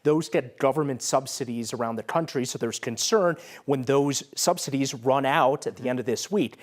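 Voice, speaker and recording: A man's voice: mid-range voice, normal speed, dry recording. An American man delivering a broadcast news report as a TV reporter.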